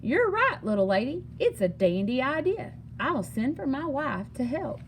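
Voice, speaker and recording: A woman's voice: sits high, very animated, normal speed, a little hiss or hum, pitched above the performer's own voice. A woman voicing an agreeable, folksy gold prospector in a children's storybook. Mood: delighted. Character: dirt-covered gold prospector man, polite, agreeable. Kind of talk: storybook character dialogue.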